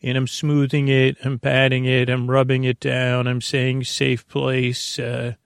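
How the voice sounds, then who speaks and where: A man hosting a bedtime podcast in a creaky, gentle, lulling voice, meandering hesitantly off into tangents. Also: medium pitch, monotone, measured pace, no echo, studio quality. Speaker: host of a bedtime-story podcast. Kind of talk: rambling sleep-podcast monologue.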